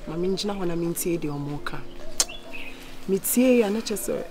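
A woman with a Ghanaian accent playing a wronged, distressed wife in a drama, speaking in a plaintive, halting way. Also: lowish voice, lively intonation, background noise, natural voice. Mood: upset. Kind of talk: dramatic acting. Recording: music under the voice.

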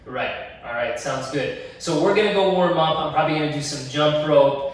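A man's voice: a little high, brisk. A young man hosting a fitness vlog in a home gym, talking in an earnest, encouraging way to camera. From Egypt. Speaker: fitness channel host. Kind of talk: casual fitness vlog talk. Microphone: camera at a distance. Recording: echoey room, mic at a distance.

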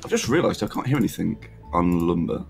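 A man's voice: low voice, very animated, fairly quick, gravelly, soft background music. A man chatting casually as he plays a game with a friend, jokey and self-deprecating. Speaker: co-player in a gaming video. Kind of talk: casual gameplay chat.

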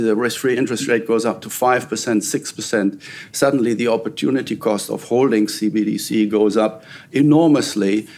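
A man's voice: medium pitch, a little mellow. A middle-aged man explains points in an unscripted panel discussion, often checking in with short tag questions. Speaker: panel speaker, economist. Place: wood-panelled conference room with audience.

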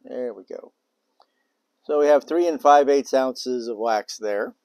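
A man's voice: measured pace, dry recording. An older man hosting a beekeeping demonstration, talking casually and thinking aloud as he works on an outdoor patio. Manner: relaxed, thinking aloud, low-key. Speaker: beekeeping video host.

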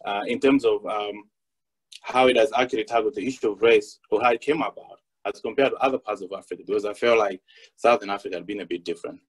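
A man's voice: lowish voice, quick pace, husky, poor audio. A young man from Malawi, speaking as a panelist on a video call and telling a personal story with many fillers and restarts.

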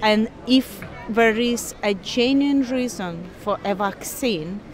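A woman in her forties, originally from Ukraine, speaks English with a Ukrainian accent in an outdoor park interview, earnest and measured with frequent pauses. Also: a little high. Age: 40s. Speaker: interviewee, scientist. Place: park outdoors, people milling behind.

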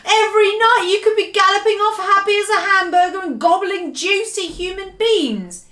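A woman's voice: on the high side, expressive, measured pace, fairly bright tone, echoey room. A woman voicing a brutish, greedy male giant from a storybook, bellowing and scornful in mangled grammar. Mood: roaring, gloating.

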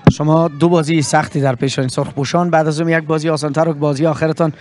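A man's voice: on the high side, level tone, darkish tone. A young man with an Afghan Dari accent, a sports presenter interviewing after a match and signing off with a handheld mic outdoors. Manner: formal, fluent broadcaster delivery. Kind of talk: post-match interview and sign-off. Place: outdoor pitchside sponsor backdrop.

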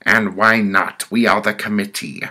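Man voicing a stern, commanding woman judge, raised pitch. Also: fairly even intonation, normal speed, sharp tone. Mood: cold, imperious. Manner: commanding, pronouncing sentences. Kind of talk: storytelling character voice.

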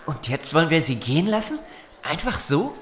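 An actress playing a tough, blunt survivor who takes charge in an audio drama. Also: female voice, low voice, sing-song, normal speed, roomy sound, a little hiss or hum. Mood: sceptical. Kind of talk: German audio drama acting.

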